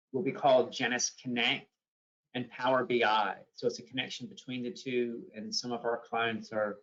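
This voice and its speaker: A man introducing a conference video in a measured way, with pauses and fillers. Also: medium pitch, a bit bright. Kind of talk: brief spoken introduction. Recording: slightly dull audio.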